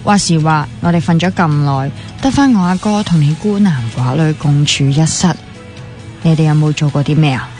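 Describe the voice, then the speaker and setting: Earnest young Hong Kong woman, lowish voice. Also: expressive, normal speed, darkish tone. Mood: playful, teasing. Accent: Hong Kong Cantonese. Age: young adult. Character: earnest, teasing young woman doctor in training. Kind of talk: radio drama acting. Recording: music playing behind the dialogue.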